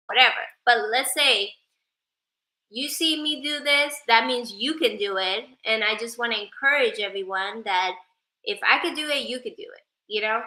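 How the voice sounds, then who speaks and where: A woman's voice: on the high side, sharp tone, reverberant, poor audio. A woman from Sweden, whose first language is Persian, chatting casually on a livestream and thanking viewers by name. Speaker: livestreamer and advocate.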